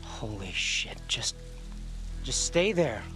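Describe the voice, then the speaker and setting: An actor playing a gruff, loyal male police partner in a video game thriller, terse and urgent. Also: mid-range voice, very animated, slow pace, pushed and bright, soft background music, full character voice, rough recording. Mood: urgent, strained. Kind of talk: cinematic video game acting.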